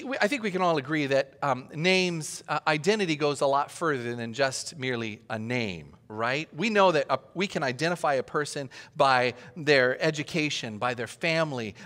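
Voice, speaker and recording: A man's voice: on the high side, a bit bright. A man preaching a conversational sermon as a pastor, chatty and joking, asking the audience questions. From Austria. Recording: long reverb of a large hall.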